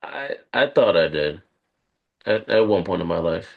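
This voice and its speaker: An actor plays a guarded man on a date with a fairly low voice. He talks in halting, evasive phrases, like a corporate professional careful about what he reveals, in the conversational style of a screenplay table read. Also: expressive, vocal fry, narrow, tinny audio, heavily acted. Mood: wistful, guarded. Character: guarded male corporate operative on a first date. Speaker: actor at a live script read.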